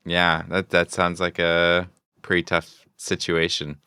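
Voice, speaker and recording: Male podcast co-host, very low voice with a crisp, bright edge, casual and conversational. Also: monotone, slow, dry recording, studio quality. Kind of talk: casual reactive chat.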